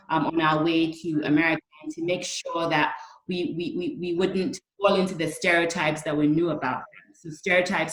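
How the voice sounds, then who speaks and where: Female panelist, thirties, low voice, measured explanatory delivery, echoey room. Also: a bit bright. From Ghana. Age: 30s. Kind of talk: considered panel remarks.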